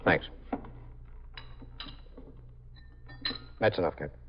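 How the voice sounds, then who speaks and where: A man's voice: racing, noisy background, close to an everyday voice. A man with an American accent playing a tired, wry detective lieutenant with an easygoing manner in a 1950s radio drama. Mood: tired, polite. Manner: easygoing, anecdotal, deferential. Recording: muffled 1950s radio recording.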